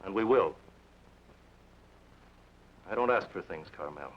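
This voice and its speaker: Domineering suitor, terse, lo-fi vintage broadcast. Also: male voice, sits low, lively intonation, a little slow, slight background noise. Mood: cold. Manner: curt, commanding. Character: cold, possessive male suitor of landowner's daughter. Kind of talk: 1940s radio acting. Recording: dull lo-fi old radio recording.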